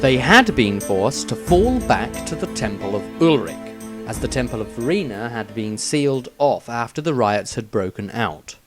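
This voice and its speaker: A man reading a fantasy story aloud in steady, measured scripted narration. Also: medium pitch. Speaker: audiobook reader.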